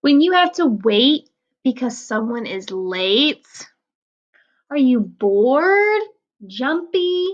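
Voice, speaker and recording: A woman reading a picture book aloud to children, explaining the pages in a gentle, patient way. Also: high-pitched, dull audio.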